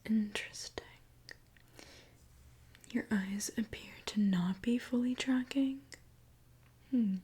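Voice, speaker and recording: A woman softly whispering an ASMR roleplay as a mechanic, gently explaining each step to the listener. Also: a little high, husky. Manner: soft, gentle whispering, unhurried step-by-step narration.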